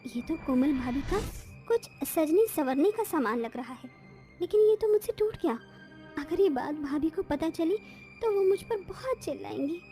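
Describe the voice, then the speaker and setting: Actress as timid young bride in a family tale. High register, meek, apologetic, pleading. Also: female voice, lively intonation, normal speed, mellow, faint music behind. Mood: worried. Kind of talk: acted Hindi moral story dialogue.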